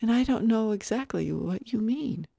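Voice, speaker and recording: Lady doing a gentle little storybook boy, prim and sheltered, high soft voice, dry sound. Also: animated, normal speed, vocal fry, no room sound. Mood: puzzled, polite. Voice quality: soft, small child's voice. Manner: prim, formal politeness. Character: gentle, weary, sheltered little rich boy, polite and puzzled.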